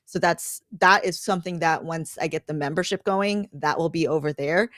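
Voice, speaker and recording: A woman's voice: sits low, normal speed, a bit bright, dry recording, studio quality. A woman content creator chatting informally and conversationally as a livestream guest. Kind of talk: casual livestream chat.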